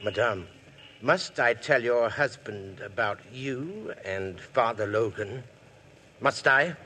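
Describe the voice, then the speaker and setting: Villette, a silky mocking blackmailer. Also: male voice, mid-range voice, animated, slow, bright, forceful tone. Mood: menacing. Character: oily scheming male blackmailer, middle-aged. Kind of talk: 1950s radio drama acting. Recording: old radio broadcast, faint hiss.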